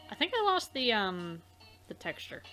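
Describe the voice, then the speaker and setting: A woman's voice: mid-range voice, very animated, normal speed, pushed and bright, soft background music. A woman co-reading a game, chatting casually in a giggly, hesitant way. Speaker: Let's Play co-reader. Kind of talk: casual chat.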